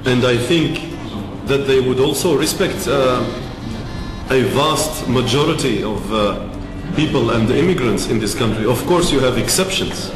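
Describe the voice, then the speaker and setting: A man from Africa answering interview questions in a measured way with many pauses. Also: mid-range voice, reverberant, in a large hall, over music, rough recording.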